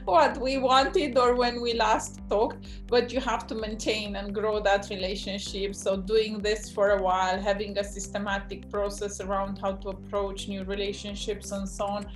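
A woman's voice: mid-range voice, little pitch movement, moderate pace, hardly any pauses, soft background music, rough recording. A woman in her forties hosting a video call interview, asking questions in an enthusiastic, emphatic way. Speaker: program host, interviewer. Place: indoor home office with bookshelves.

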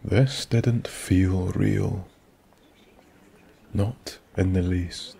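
A man with a Scottish accent narrating a soft-spoken bedtime story in a slow, hushed, soothing way that drifts into whispers. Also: sits low, fairly even intonation, gravelly, slightly rough.

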